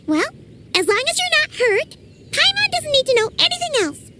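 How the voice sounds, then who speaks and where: Game role: tiny excitable floating fairy sidekick, childlike squeak, swooping delivery. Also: female voice, normal speed, exaggerated voice. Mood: concerned. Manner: refers to self in third person, eager. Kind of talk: video game voice acting.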